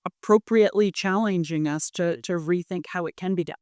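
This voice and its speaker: Woman, smooth clear voice, articulate, measured interview answers, dry sound. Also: on the low side, darkish tone, no room sound. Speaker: air traffic industry representative.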